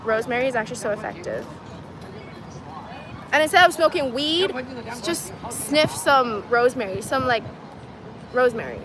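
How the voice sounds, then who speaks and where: A woman's voice: a little high, expressive, normal speed, long pauses, a crowd in the background. Chatty woman streaming outdoors. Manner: chatty, laughs easily, talks to viewers as "guys". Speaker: vlogger reading live chat. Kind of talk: casual livestream chat. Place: outdoors in a city at dusk. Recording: outdoor stream with clear traffic noise. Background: street and traffic noise.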